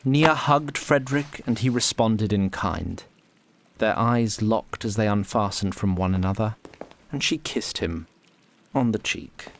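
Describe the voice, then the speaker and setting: A man narrating a scripted fantasy story in a measured, literary manner. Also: fairly low pitch, slight background noise.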